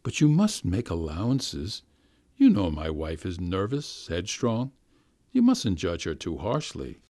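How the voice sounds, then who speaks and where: A man reading aloud voices a weak, irresolute middle-aged gentleman who speaks in a soft, subdued, ingratiating way and keeps pleading. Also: sing-song, measured pace, no room sound, clean studio sound, full character voice. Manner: pleading, rising to high plaintive appeals.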